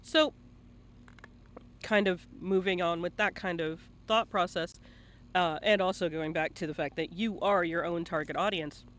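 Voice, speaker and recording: A man's voice: high voice, fairly even intonation, a little slow, a bit bright. A man working as a livestream interviewer, asking questions in a casual, conversational way.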